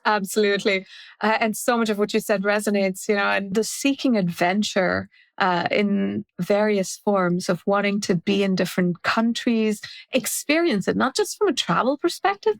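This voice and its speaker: A woman in her forties from India, a guest telling her story in a reflective, measured way during a casual interview. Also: medium pitch, dry recording. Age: 40s.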